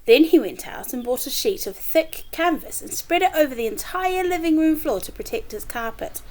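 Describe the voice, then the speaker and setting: Animated, higher-voiced woman reading a children's storybook. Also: moderate pace. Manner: animated, acts out dialogue, chatty asides. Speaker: teacher reading aloud to pupils. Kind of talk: expressive children's storybook read-aloud with asides to listeners.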